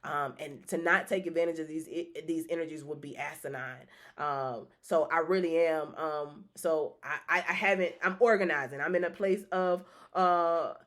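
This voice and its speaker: A woman's voice: low voice, steady tone, normal speed, a bit bright. A woman hosting a live stream and reading tarot cards, chatting in a rambling, loose way with many restarts and casual swearing.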